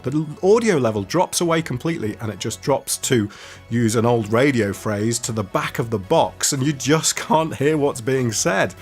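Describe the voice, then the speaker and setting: A man giving a casual, enthusiastic product review in a conversational way. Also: medium pitch. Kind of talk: casual walkie-talkie review to camera.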